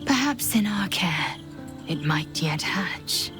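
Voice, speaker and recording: A woman's voice: lowish voice, expressive, slow. An actress playing a proud, fierce alien warrior woman in a video game, imperious and guarded. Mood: guarded, solemn. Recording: music behind the voice.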